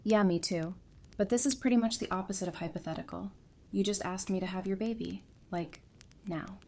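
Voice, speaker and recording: A woman's voice: mid-range voice, fairly quick, a little mellow, lightly acted. A woman playing an affectionate, teasing young wife in an audio drama, talking in a casual, conversational way. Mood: tender, candid. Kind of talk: fan audio drama acting. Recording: clear background noise and ambience.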